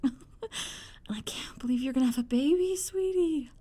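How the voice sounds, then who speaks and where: A woman's voice: high voice, a little slow, warm tone. A woman playing an overjoyed, doting mother of a grown son in an audio roleplay, gushing and affectionate. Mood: overjoyed, tender.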